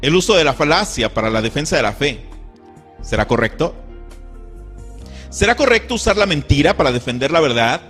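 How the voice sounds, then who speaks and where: A man's voice: fairly high pitch, a bit bright. A man giving a scripted sermon-style commentary, earnest and indignant as he quotes scripture.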